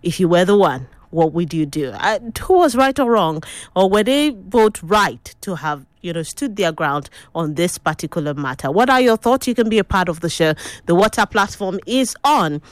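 A woman's voice: on the low side, lively intonation, moderate pace, no echo. A Nigerian-accented woman hosting a radio talk show, chatting and putting questions to listeners. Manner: conversational, asks listeners questions. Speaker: radio host.

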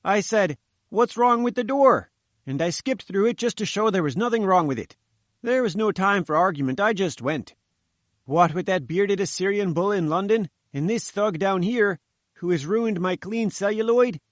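A man's voice: sits high, moderate pace, no room sound, clean studio sound, voice pushed up, natural voice. A man voicing a fat little male reporter who sputters and pleads cheekily. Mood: cheeky.

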